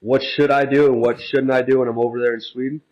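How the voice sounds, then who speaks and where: Flat-toned young man on dull lo-fi voicemail. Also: mid-range voice, fast talker. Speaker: caller leaving a voicemail. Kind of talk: casual chat.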